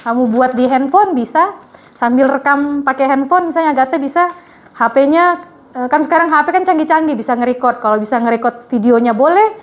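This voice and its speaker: A woman's voice: sits high, fairly quick, muffled recording. A woman coaching trainees to read the news, in a casual, chatty way with many fillers and restarts.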